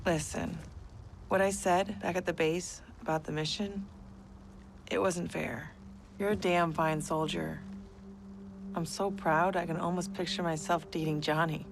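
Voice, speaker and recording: A woman's voice: lowish voice, steady tone, measured pace, vocal fry, pauses often, background noise. A woman playing a tough, blunt army mother in a video game, dry and no-nonsense. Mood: earnest, tender. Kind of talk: video game drama acting. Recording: loud music underneath.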